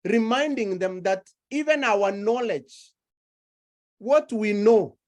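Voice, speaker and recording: A woman preaching a sermon, slow and deliberate, building her points through repeated phrases. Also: mid-range voice, a little mellow.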